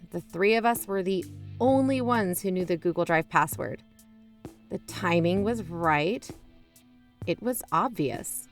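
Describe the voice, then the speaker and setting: An actress playing a suspicious, self-justifying investigator who hosts a podcast, narrating in an emphatic, confiding, conversational way. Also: female voice, mid-range voice, natural voice. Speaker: podcast host.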